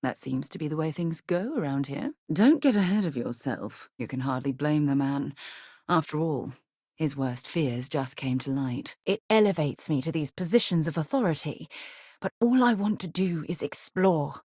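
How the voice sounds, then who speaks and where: Composed ex-military space explorer lady for a video game, kinda low husky voice, earnest n measured, super dry studio sound. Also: lively intonation, a little mellow, dry recording.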